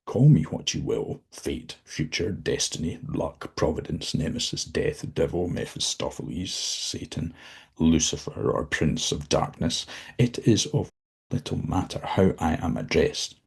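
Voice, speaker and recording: Guy doing a smooth condescending devil, deep and gravelly, husky too. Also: lively intonation, a little slow, exaggerated voice. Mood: menacing. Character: the devil, suave, menacing, all-knowing male.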